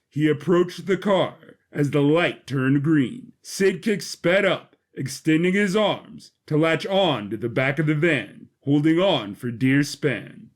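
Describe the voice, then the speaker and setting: Male narrator for sci-fi audio drama, fairly high voice, scripted dramatic storytelling.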